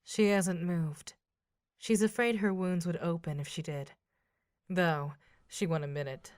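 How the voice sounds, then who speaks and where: A woman playing a cool, scheming, combative villainess in an audio drama, speaking in a measured way. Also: fairly low pitch, fairly even intonation, darkish tone, no echo, clean studio sound, close to an everyday voice.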